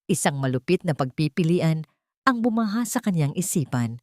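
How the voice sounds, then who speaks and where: A woman with a fairly low voice narrates a dramatic audio story. The recording is dry and close, with no room sound around her. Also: lively intonation, moderate pace, darkish tone, no room sound.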